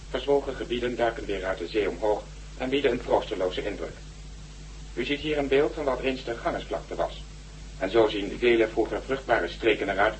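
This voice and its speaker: Male actor as formal, sober newsreader in a radio play; lowish voice, measured delivery, sounding distant from the mic. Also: a little hiss or hum, close to an everyday voice. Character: grave male news broadcaster reporting a world disaster.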